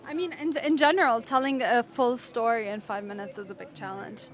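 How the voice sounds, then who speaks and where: A woman filmmaker explaining her animated film in a reflective, tentative way. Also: a little high, a little fast. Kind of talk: interview answers.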